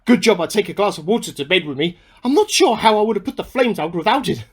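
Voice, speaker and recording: A man acts out a paranoid amateur scientist in a radio play. His voice is rough-edged and swings widely in pitch. He delivers his diary with agitated melodrama. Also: animated, fast, fairly bright tone, full character voice. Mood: alarmed, rattled. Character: paranoid male amateur scientist at war with hamsters.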